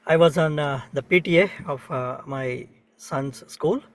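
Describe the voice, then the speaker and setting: A man's voice: a little high, unhurried, dry recording, distant mic. A middle-aged man with an Indian accent, sharing a personal story in a group session, hesitant and full of fillers. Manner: hesitant, many fillers, soft-spoken. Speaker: participant.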